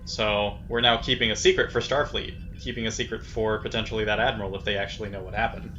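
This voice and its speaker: A man's voice: lowish voice, steady tone, fast, sharp tone, muffled recording. A man talking casually as a player in a tabletop role-playing game. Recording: faint background noise.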